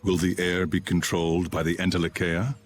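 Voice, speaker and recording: A man's voice: sits low, level tone, brisk, faint music behind, lightly acted. A man playing a cold, aloof antagonist who scorns humans in a video game, grave and formal. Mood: stern. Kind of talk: English video game voice acting.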